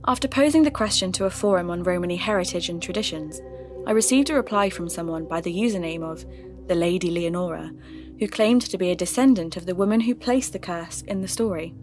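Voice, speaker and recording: A woman playing a curious, polite podcast host and investigator, speaking in a measured, everyday way as in a scripted audio drama. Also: fairly low pitch, soft background music, natural voice. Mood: earnest, narrating. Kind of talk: scripted narration and staged interview.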